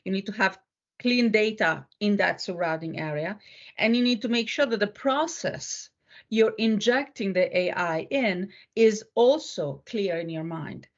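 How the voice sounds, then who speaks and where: A woman of average pitch speaks as a panelist, explaining things step by step. Her delivery is conversational. The recording is somewhat dull and lo-fi. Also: unhurried. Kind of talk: casual panel discussion.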